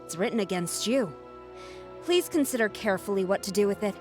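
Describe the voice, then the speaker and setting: A woman playing a stern, formal military commander in a video game, speaking with measured authority. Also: mid-range voice, animated, natural voice. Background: game music.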